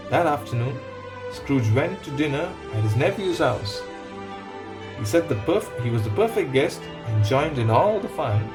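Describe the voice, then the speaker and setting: A man reading a picture book aloud to children, pausing to explain things and put questions to them. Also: mid-range voice. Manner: pauses to ask and explain to young listeners. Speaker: storybook reader. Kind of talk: read-aloud narration for children.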